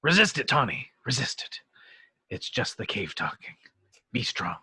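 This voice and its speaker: A man playing an earnest actor famed as a heroic sci-fi captain in an amateur table read, halting and sheepish at times. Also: medium pitch, very animated, bright, forceful tone, husky, no echo, full character voice. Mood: melodramatic, urgent.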